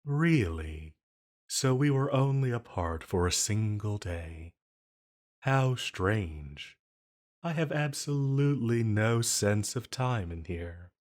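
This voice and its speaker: An ancient banished villain acted by a man speaks in a very low voice at an unhurried, deliberate pace. He is silky and intimate, flirting with a condescending, dangerous charm, as in an audio drama. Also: lively intonation, a little mellow. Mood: wistful, intimate. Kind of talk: roleplay audio drama monologue.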